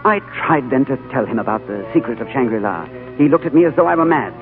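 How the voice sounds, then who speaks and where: An actor playing a weary, haunted adventurer in a 1930s radio drama, narrating earnestly. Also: male voice, medium pitch, lively intonation, fast, natural voice. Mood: brooding. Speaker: leading man and narrator. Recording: tinny old radio broadcast with music.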